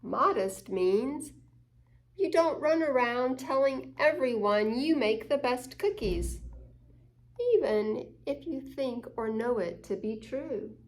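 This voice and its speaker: Lady doing kids story time, kinda high voice, really slow, reads then explains and asks the kids stuff. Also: lively intonation. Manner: child-directed, asks the listener questions. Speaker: storyteller reading children's picture books. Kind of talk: children's story time, read-aloud with explanations.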